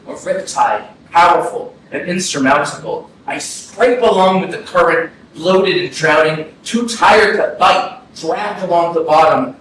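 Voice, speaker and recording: A man's voice: fairly high pitch, a bit bright. A man acting a grieving, self-conscious young man who mourns a dead friend in a poetic staged reading. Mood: weary, brooding. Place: indoor arts center stage, recorded from afar. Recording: very echoey hall, distant, faint noise.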